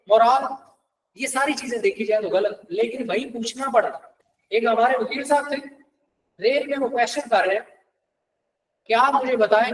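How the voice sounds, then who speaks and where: A man's voice: very high voice, far from the mic, rough recording. A Pakistani man giving a classroom law lecture in a conversational way, mixing in English terms and checking points with rhetorical questions.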